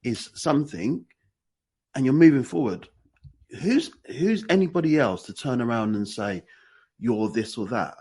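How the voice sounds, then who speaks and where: A man with a husky, croaky morning voice who talks in long, winding, emphatic stretches and repeats phrases as he goes. His pitch swings widely with emphasis. The tone is casual and conversational. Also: expressive, a little slow, dark tone. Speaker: podcast co-host.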